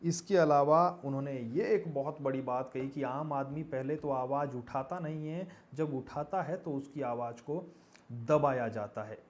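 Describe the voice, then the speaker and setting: A man's voice: mid-range voice, measured pace. A man presents news commentary to viewers, stressing key phrases and posing rhetorical questions.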